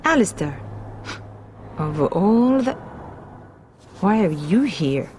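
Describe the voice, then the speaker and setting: A woman's voice: medium pitch, very animated, a little mellow, slight background noise. Anxious, formal noblewoman. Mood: startled. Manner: halting, urgent, formal. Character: anxious, guarded noblewoman of a fantasy castle. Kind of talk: dramatic video game acting.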